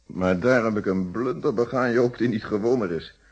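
Measured detective inspector, low husky voice, Netherlands Dutch accent, deliberate radio-play storytelling. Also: male voice, a little mellow, highs cut off. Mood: reflective, explanatory. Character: police inspector, steady, reflective, recounting his investigation.

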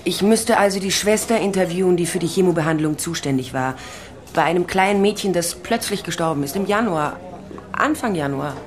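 A woman's voice: lowish voice, fairly quick, natural voice. An actress playing a shrewd, wry investigator who narrates her case in a dry, matter-of-fact way in a radio crime drama. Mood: probing.

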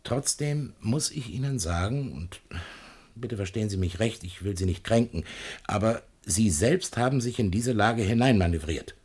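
A lowish, husky male voice with a very lively, swooping melody. a talkative paint shopkeeper in a radio play, evasive and patronizing, always placating his customer. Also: moderate pace. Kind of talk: German radio play acting.

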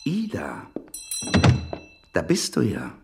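An actor in a German radio play playing a familiar, insistent shopkeeper with a coaxing, predatory edge. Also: male voice, on the low side, huge pitch swings, takes it slowly, vocal fry, over music, heavily acted.

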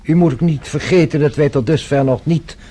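An actor playing a formal, cautious French police inspector, measured and polite, in a radio play. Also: male voice, on the high side, dark tone, slightly rough. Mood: measured, unruffled.

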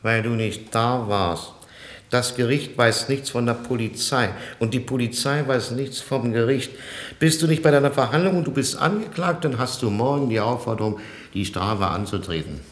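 An actor in a 1960s German radio play playing a brash, scheming, know-it-all jailbird who talks in rough colloquial style. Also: male voice, mid-range voice, moderate pace, close to an everyday voice. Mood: brash, bossy. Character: cocky, streetwise male jailbird, rough and scheming. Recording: roomy, echoing 1960s radio recording.

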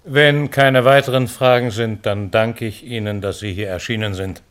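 A man's voice: lowish voice, steady tone, moderate pace, fairly bright tone, clear, resonant tone, lightly acted. An actor playing a courteous, businesslike chairman who runs a hearing in a radio play. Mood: official. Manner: official, orderly, courteous. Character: formal, businesslike male chairman of a security hearing.

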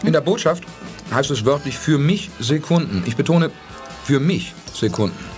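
A calm male starship commander, voiced by a man, reasons aloud in a measured, emphatic way, with a lively rise and fall in his voice. Faint music plays under this 1980s radio drama scene. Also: fairly high pitch, natural voice. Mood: steady. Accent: German. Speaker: lead character. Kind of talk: 1980s German radio play acting.

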